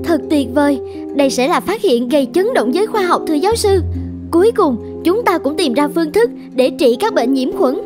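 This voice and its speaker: Young earnest assistant in a cartoon, high voice, urgent n pleading, some music behind. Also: female voice, expressive, fairly quick. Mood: excited admiration. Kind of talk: dramatic animated-film dubbing.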